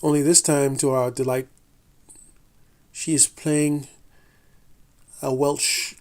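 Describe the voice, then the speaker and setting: A man reading a scripted TV review in a measured, deliberate way, with the odd slip and restart. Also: a little high, level tone, a little mellow. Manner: measured, deliberate delivery with occasional slips and restarts. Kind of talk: scripted review read aloud.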